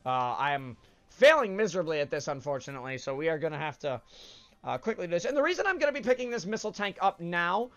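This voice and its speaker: A man's voice: a little high, sing-song, fast talker, a bit bright, muffled recording. Stuffy-nosed man, chatty gameplay host. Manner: chatty, rambling, frequent uhs and self-corrections. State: stuffed up with a cold. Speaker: let's play host. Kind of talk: casual gameplay commentary.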